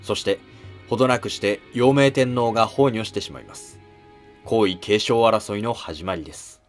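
Low-voiced male history narrator. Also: normal speed, faint music behind.

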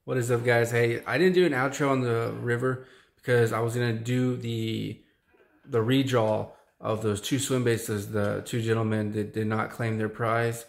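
A man's voice: mid-range voice, fairly even intonation, normal speed, creaky. A young man casually talking to the camera in a small room, drawing giveaway winners. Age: young adult. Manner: casual, rambling, thankful. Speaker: fishing channel host. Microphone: camera close up at desk.

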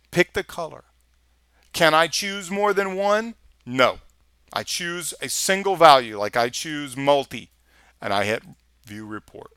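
Texan male instructor, screencast voice-over, bright and dry, casual and chatty while clicking around. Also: on the high side, smooth, no room sound. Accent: Texas. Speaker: software instructor. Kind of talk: screencast tutorial walkthrough.

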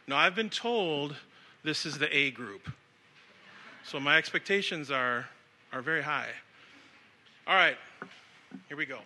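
Very bright-voiced man giving a talk. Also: a little high, lively intonation, a little slow, pauses often. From born in Samoa, raised in the United States. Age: 40s. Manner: upbeat, casual, leads the crowd. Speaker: speaker at an education event. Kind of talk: live talk to an audience.

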